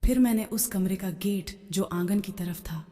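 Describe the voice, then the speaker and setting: A woman's voice: medium pitch, normal speed, dark tone. A woman reading a scripted first-person story as its narrator. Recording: long hall-like reverb. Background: background music.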